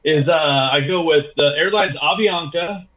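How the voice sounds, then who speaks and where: A man's voice: fairly high pitch, measured pace, fairly bright tone. A man chatting casually as a podcast guest over a video call, with laid-back, slangy phrasing about his own experiences. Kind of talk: casual travel chat. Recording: dull lo-fi video call audio.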